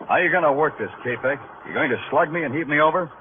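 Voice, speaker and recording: A man's voice: fairly high pitch, fast talker, a little hiss or hum, close to an everyday voice. A man acting a weary, wry New York police detective in a 1950s radio crime drama, with an American accent and a terse, brooding delivery. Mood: tense. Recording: narrow, tinny old radio recording with music.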